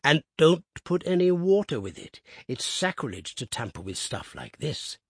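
A man's voice: on the high side, very animated, moderate pace, husky, no room sound, clean studio sound, voice pushed up, full character voice. A man voicing a hearty, bluff older boss of a firm. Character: hearty, stout, commanding older male boss.

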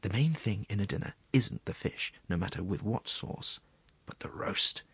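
A man's voice: lowish voice, expressive, normal speed, creaky, a bit bright, husky, no room sound, whispered, exaggerated voice. A man voicing a wheedling, gluttonous court secretary in a storybook reading, savouring his words in a confiding, coaxing way.

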